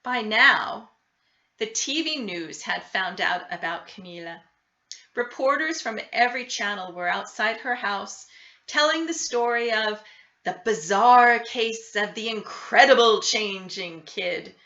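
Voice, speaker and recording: Slow, bright-voiced woman reading a storybook. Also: mid-range voice, expressive. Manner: deliberate, dramatic emphasis with character voices. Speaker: storybook reader. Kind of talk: expressive children's picture book read-aloud. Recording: roomy, slightly dull sound.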